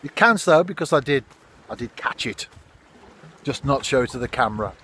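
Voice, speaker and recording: A man's voice: mid-range voice, expressive, moderate pace, a bit bright, a little hiss or hum. A man in his fifties, filming himself on seaside rocks with a phone at arm's length, chatting unhurriedly to his viewers about his day. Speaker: fishing vlogger. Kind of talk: casual outdoor narration to camera. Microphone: phone camera at arm's length.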